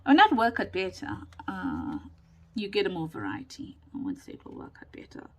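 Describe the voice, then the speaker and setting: A woman recovering from a lost voice and a sore throat, chatting casually through a crafting tutorial with many pauses and ums, sometimes dropping to a whisper. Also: mid-range voice, wildly swooping pitch. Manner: slow, chatty, with frequent ums and laughs, drifting into whispers. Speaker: craft vlogger.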